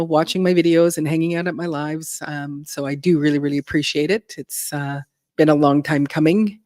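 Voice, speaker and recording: A woman's voice: low-pitched, darkish tone, dry recording, studio quality. A woman hosting a casual livestream, chatting with viewers and a guest, laughing often and filling her talk with ums and restarts. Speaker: livestream host.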